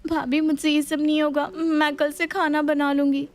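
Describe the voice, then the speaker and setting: A woman's voice: high-pitched, little pitch movement, moderate pace, pitched above the performer's own voice. A woman voicing a lazy, scheming young daughter-in-law who whines and pleads in a family story. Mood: pleading. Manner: whining, pleading.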